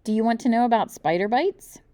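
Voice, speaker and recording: Woman as a confident know-it-all boy, animated. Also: a little high, a little fast, dry recording, pitched above the performer's own voice, lightly acted. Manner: matter-of-fact, reciting facts. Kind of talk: children's storybook read-aloud.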